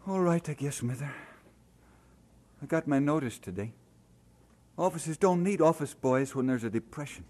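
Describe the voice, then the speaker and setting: A man's voice: on the high side, expressive, moderate pace, long pauses, a little mellow. An actor playing an earnest, hesitant young Scottish man in an audio drama. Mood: earnest, discouraged.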